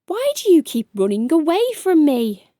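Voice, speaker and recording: Woman playing a big, shy storybook monster, high-voiced. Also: big pitch swings, normal speed, mellow, dry recording, studio quality. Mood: plaintive. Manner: friendly, plaintive and bashful. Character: big, gentle, shy male troll-ogre monster. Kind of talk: children's storybook character reading.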